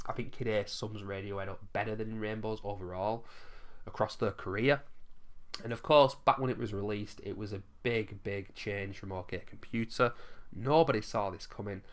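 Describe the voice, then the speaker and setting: A man with a somewhat low voice, hoarse as if losing it, talks through a countdown of his favourite albums. His delivery is chatty and enthusiastic, with casual swearing. He sounds like a home vlogger talking straight to his viewers. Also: fairly even intonation, moderate pace. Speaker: music vlogger ranking albums.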